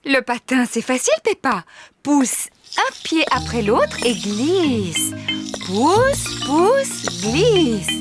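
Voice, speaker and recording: A woman's voice: high-pitched, huge pitch swings, slow, full character voice. A woman voicing a kind, patient mother pig in a cartoon, encouraging. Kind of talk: cartoon dubbing. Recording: loud music behind.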